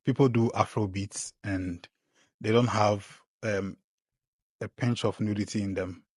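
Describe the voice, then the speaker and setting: Low-voiced podcast host, dry sound. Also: male voice, a little slow, husky, no echo. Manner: relaxed, trails off quietly, affirming fillers. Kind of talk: casual podcast interview.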